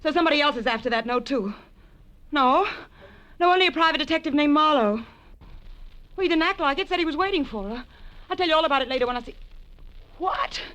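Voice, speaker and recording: An actress playing a cool, teasing femme fatale in a 1940s radio crime drama. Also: female voice, high voice, lively intonation, quick pace, pauses often, fairly bright tone. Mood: urgent, hard. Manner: coy, self-possessed, teasing. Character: sultry, cool, gun-toting femme fatale.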